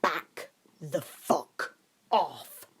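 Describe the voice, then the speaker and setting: A gruff man voiced by a female narrator in an audiobook story. He is sardonic and blunt, with a growling, protective edge. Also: deep voice, very slow, heavily acted. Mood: snarling, protective.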